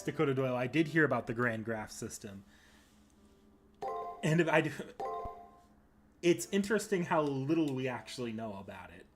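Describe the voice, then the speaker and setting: A man hosting a livestream, chatting casually with viewers and rambling as he thinks aloud. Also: a little high. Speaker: livestream host. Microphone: desktop mic at a computer. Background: music.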